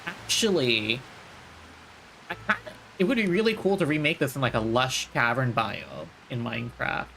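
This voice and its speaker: A woman's voice: a bass voice, expressive, normal speed, gravelly, a bit bright, slight background noise, low-quality recording. A woman live-streaming a game, giving casual commentary and musing aloud. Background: game music.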